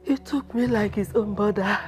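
Wailing older mother-in-law, high sobbing voice, really slow, soft music behind. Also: animated. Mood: wailing grief.

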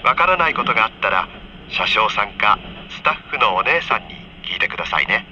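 Bright, lively male train announcer. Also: lowish voice, brisk. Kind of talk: scripted onboard announcement for children. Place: train car, over the PA system. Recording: dull train PA with faint noise.

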